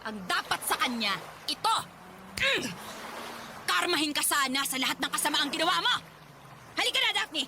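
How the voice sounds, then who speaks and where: A woman's voice: high-pitched, sing-song, normal speed, long pauses, background noise. An actress playing an angry mother who scolds the man who deceived her daughter in a radio drama. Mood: cold. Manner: scolding, contemptuous. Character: furious middle-aged mother defending daughter. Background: music.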